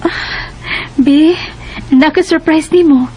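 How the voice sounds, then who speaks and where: A woman with a Filipino accent playing a young wife in an expressive radio drama. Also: high-pitched, slow pace, slight background noise, low-quality recording. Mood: happy. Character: young wife Aya, telling her life story.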